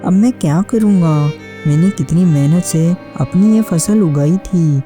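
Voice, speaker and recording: A woman's voice: on the low side, measured pace, mellow, faint music behind, lightly acted. A woman voicing a humble, earnest male villager in a cartoon moral story, with a plaintive manner. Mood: distressed. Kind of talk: Hindi animated moral story dialogue.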